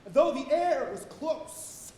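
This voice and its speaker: A man delivering a theatrical sermon monologue on stage, declamatory, swinging from hushed tones to shouting. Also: lively intonation, slow. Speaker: stage actor preaching a sermon. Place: college auditorium stage. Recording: echoey auditorium with loud rumbling noise.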